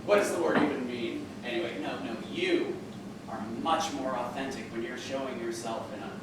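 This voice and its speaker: A cynical, sneering husband, as an actor plays him who lectures with mocking, drawn-out pronouncements. His middle-pitched voice sounds from across a very echoey room, with noise in the background. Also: natural voice. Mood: sardonic. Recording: roomy, distant mic with background noise.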